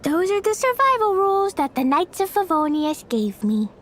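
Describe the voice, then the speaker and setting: Casting: mischievous little girl for a video game, tiny sky-high voice, lively singsong delivery, music underneath. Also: normal speed. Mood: cheerful, eager. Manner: childlike, singsong, speaks of herself in third person. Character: cheerful, mischievous little girl who loves explosions. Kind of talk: video game character voice lines. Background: background music.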